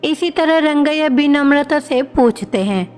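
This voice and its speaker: A woman's voice: high voice, lively intonation, unhurried, a little mellow, in a large hall, soft background music. A woman reading scripted narration for a moral bedtime story.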